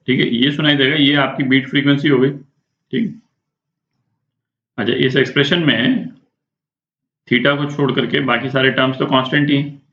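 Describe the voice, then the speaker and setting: A man's voice: mid-range voice, a bit bright. Indian man tutoring in a dull, echoey recording. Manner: informal, explanatory, frequent check-in tags and rhetorical questions. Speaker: physics tutor. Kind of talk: spoken lesson working through a problem step by step. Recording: echoey room, dull muffled sound.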